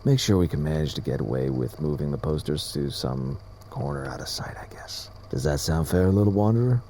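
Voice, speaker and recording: A man playing a gentle, loving father pony in an audio drama, speaking softly with a gravelly, sometimes hushed voice. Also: a bass voice, lively intonation, fairly quick, a little hiss or hum, heavily acted. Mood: gentle, hesitant. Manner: soft, tender, fatherly, often hushed.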